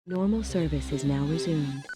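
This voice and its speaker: A woman playing a composed police official in a video game, making formal, measured announcements to all units. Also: deep voice, over music, natural voice. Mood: calm, official.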